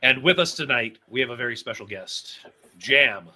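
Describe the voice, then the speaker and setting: A man hosting an interview show, introducing it and his guest in deliberate, announcer-like phrases with pauses. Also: medium pitch, lively intonation, bright, forceful tone. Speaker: host and executive producer.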